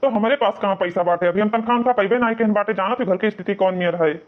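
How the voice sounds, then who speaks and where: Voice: medium pitch, quick pace, close to an everyday voice. A voice actor playing a hard-up, plain-spoken working husband in a cartoon family comedy, matter-of-fact, with an Awadhi accent. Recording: dull, lo-fi muffled sound.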